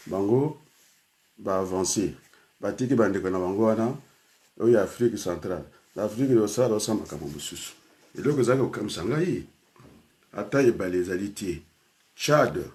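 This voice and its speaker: Homme âgé, voix très grave, originaire du Congo, discours décousu, hésitant. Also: male voice, lowish voice, expressive, moderate pace, long pauses, a little mellow. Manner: rambling, switching between French and Lingala with many hesitations. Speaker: teacher and trainer. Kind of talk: spontaneous personal talk.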